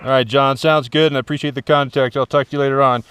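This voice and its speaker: A man's voice: medium pitch, level tone, fast talker, fairly bright tone, a little hiss or hum. A man working as an amateur radio operator outdoors, giving call signs phonetically and repeating himself for clarity. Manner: repeats letters and numbers for clarity. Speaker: amateur radio operator making contacts from a summit. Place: outdoors on a rocky summit.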